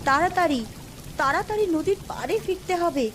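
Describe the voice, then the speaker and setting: A woman's voice: extremely high pitch, a little fast, noisy background. A woman playing a devoted, brave mother in a cartoon story, speaking in an earnest, soothing manner. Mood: urgent. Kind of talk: Bengali cartoon voice acting.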